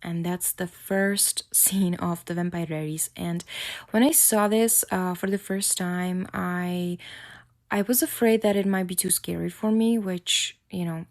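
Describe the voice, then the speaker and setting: A woman's voice: lowish voice, gravelly, darkish tone. A woman in her thirties with a Czech accent hosting her own fan podcast in chatty, enthusiastic solo talk. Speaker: podcast host.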